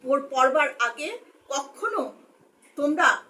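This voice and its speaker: A woman telling a story to children in an expressive, explanatory way with emphatic repetition. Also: high voice, slow pace.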